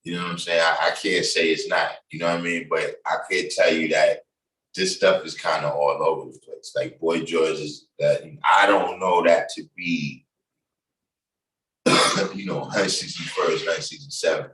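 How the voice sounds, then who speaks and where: Deep-voiced New York man, roomy and distant. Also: fairly even intonation, moderate pace, a bit bright. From New York City, Bronx. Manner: loose, conversational, many fillers. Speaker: livestream host. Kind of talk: casual livestream talk. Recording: echoey room, distant from mic.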